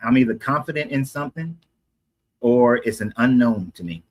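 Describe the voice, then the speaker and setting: A man's voice: mid-range voice, expressive, moderate pace. A man from Atlanta debating on the street, explaining at length and correcting himself as he goes. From Atlanta, USA. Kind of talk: casual street conversation.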